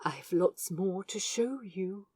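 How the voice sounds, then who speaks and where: A woman's voice: medium pitch, lively intonation, slow, darkish tone, husky, dry recording. A woman voicing a nervous, eager and polite male aquarium docent in an audio story, with slightly formal speech. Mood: shy, eager.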